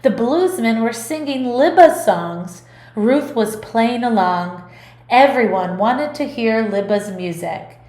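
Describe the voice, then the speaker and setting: A woman music teacher reading a picture book aloud in an expressive, deliberate storytelling delivery. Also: a little high, reverberant.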